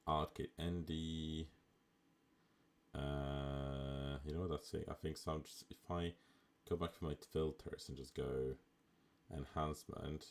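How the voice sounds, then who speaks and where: A man with an extremely low, raspy voice talks through a game on a live stream. He keeps it relaxed and chatty, thinking aloud with plenty of casual asides into a desktop mic. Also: unhurried. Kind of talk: casual live gameplay commentary.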